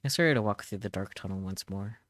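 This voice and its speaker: A man reading a visual novel aloud as dramatic first-person narration, solemn and measured, in short halting phrases. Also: on the low side, a bit bright.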